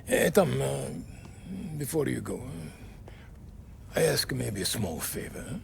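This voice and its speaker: Gravelly, protective Italian father. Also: medium pitch, lively intonation, whispered, exaggerated voice. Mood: friendly, hesitant. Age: middle-aged. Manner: halting, broken English. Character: protective Italian father, gruff but kindly bar owner. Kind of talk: dramatic video game acting.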